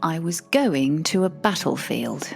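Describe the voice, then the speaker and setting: A woman's voice: sits low, animated, a little slow, creaky, darkish tone, faint music behind, pitched below the performer's own voice, heavily acted. A woman voicing the Devil as an irritated, distracted, alluring woman, musing aloud in a dry, offhand way for an audio drama. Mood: annoyed, dry. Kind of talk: audio drama character dialogue.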